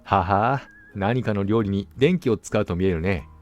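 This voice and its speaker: A man voicing a gullible, self-assured gentleman hunter in a storybook, musing aloud conversationally. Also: lowish voice, moderate pace, muffled recording, faint music behind, close to an everyday voice. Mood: musing, satisfied. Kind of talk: storybook dialogue acting.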